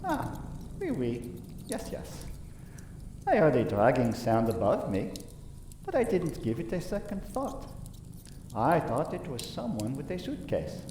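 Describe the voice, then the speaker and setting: A man playing an easygoing French gentleman witness in a radio play, theatrical and unbothered as he recalls events. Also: mid-range voice, huge pitch swings, unhurried, long pauses. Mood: easygoing, obliging. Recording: echoey distant room, faint noise.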